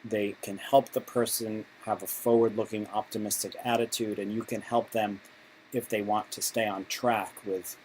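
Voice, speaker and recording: Low-voiced man explaining, noisy recording. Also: flat delivery, darkish tone. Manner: halting, conversational phrasing with repeated restarts. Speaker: instructor for patient volunteers. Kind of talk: informal instructional explanation with role-played examples. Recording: clearly noisy amateur recording.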